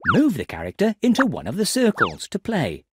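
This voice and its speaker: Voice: medium pitch, wildly swooping pitch, normal speed, noisy background. A narrator of a children's game, giving scripted instructions expressively for young children. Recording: music behind the voice.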